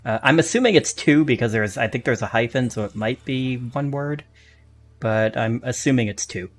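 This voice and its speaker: A man with a medium-pitched voice hosts a casual live podcast. He talks in a hesitant, joking manner, like someone chatting with friends. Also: moderate pace. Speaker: podcast host.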